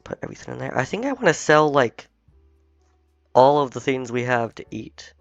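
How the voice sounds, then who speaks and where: Gravelly, slightly hoarse gaming host. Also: male voice, medium pitch, very animated, moderate pace, no echo, faint music behind. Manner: chatty, thinking aloud while playing. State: slightly hoarse, recovering from illness. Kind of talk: casual let's-play commentary. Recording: dull, dry home recording.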